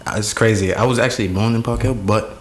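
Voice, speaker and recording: Deep-voiced young New York guy. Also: fast talker, slight background noise. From Staten Island, New York. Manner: relaxed, slangy storytelling. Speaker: podcast guest. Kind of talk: casual interview chat.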